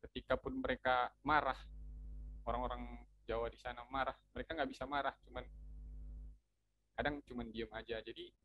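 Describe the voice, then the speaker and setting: A man's voice: mid-range voice, flat delivery, fairly quick, pauses often, highs cut off, slight background noise. A young man from North Sumatra with a Medan-style Indonesian accent, chatting casually as an alumni speaker and explaining things with lots of fillers and restarts. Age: young adult. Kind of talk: casual informational talk.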